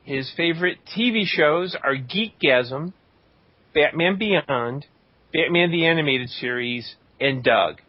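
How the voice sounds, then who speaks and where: A man hosting a podcast in a casual, tongue-in-cheek way as he reads out listener shout-outs. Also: a little high, slow pace, no echo. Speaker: podcast host. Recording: dull lo-fi voice recording.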